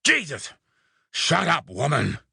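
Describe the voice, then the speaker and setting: A man voicing a rough, hulking drinker in an audiobook, gruff, awkward and hesitant. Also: a little high, wildly swooping pitch, takes it slowly, bright, forceful tone, no room sound, studio quality, pitched above the performer's own voice, heavily acted. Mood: exasperated. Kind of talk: audiobook character voice.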